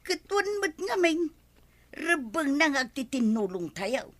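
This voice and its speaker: Actress as helpful village woman, higher pitch, Ilocano accent, plain-spoken, comedy drama. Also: big pitch swings, slow, a bit bright, heavily acted. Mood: supportive.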